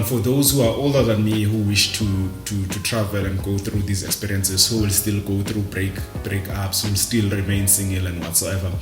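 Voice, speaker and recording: A South African man talking casually and reflectively to camera, halting and repeating words as he goes. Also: fairly low pitch, reverberant. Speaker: vlogger. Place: indoors, dim room at night.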